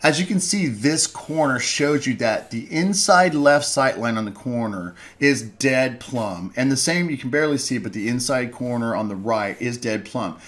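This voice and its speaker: A man's voice: mid-range voice, moderate pace, few pauses, fairly bright tone. Middle-aged guy explaining siding tips, folksy, stumbles and restarts a bit, desk mic. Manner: plainspoken, folksy, with restarts and self-corrections. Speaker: lumber company presenter. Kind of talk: instructional voice-over.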